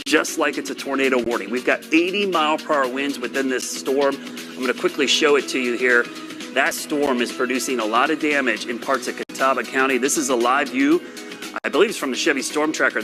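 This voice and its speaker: A man forecasting severe weather live on TV, urgent and direct with viewers. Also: mid-range voice. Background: music.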